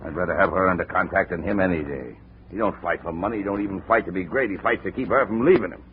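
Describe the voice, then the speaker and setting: An actor playing a gruff, rambling, excitable boxing manager who swings from shouting to muttering, in 1950s radio drama style. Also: male voice, sits low, fast, close to an everyday voice. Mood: grumbling, rambling. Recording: muffled, noisy 1950 radio recording.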